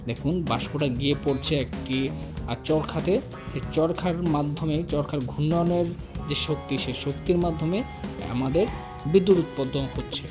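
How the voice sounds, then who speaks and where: A man's voice: a little high, normal speed. A man with a Bangladeshi accent narrating a guided tour as a travel vlog host, explaining things directly to the viewers. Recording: music laid behind the voice.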